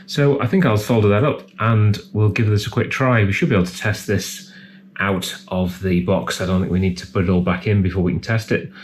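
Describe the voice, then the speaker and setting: A man's voice: fairly low pitch, steady tone, a little fast, a little hiss or hum. A man giving casual, hands-on repair commentary as a technician, thinking aloud in a tentative way. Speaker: electronics repair technician presenting a repair.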